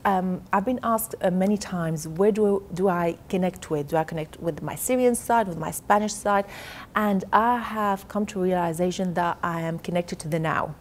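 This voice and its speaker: A woman's voice: lowish voice, normal speed, a little hiss or hum. Earnest woman giving a hotel interview. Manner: earnest, many fillers and repeated starts. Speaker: interview guest. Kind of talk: casual interview conversation. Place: hotel in London.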